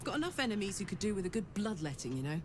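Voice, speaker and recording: A woman playing a tough, warm-hearted barbarian warrior in a video game, plain-spoken, familiar and wry. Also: mid-range voice, sing-song, rapid-fire, crowd noise, close to an everyday voice. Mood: frank, encouraging. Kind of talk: video game character dialogue. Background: game music.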